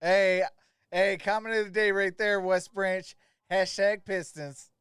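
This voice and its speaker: A man's voice: high-pitched, level tone, unhurried, fairly bright tone, clear, resonant tone, no room sound, clean studio sound. A man hosting a live sports stream, calling plays casually and chatting informally with viewers. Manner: informal, addressing viewers by name. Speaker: livestream host doing play-by-play.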